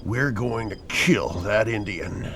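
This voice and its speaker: An actor playing a ruthless western gang leader, commanding and threatening, in an audio horror drama. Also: male voice, on the low side, slow, fairly bright tone, a little hiss or hum. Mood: cold menace. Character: ruthless, menacing male leader of western outlaws.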